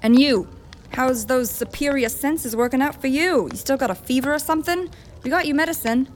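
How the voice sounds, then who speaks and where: An actress playing a tough, foul-mouthed rogue leader, blunt, sarcastic and exasperated, in an audio drama. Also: female voice, high voice, fairly quick, slight background noise, lightly acted.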